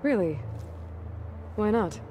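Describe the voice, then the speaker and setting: A woman's voice: mid-range voice, expressive, takes it slowly, mellow, a little hiss or hum. A woman playing a determined, sincere young warrior in a video game, speaking in an earnest, understated and dryly wry way. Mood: casual, dry. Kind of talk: video game dialogue acting. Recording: music playing behind the voice.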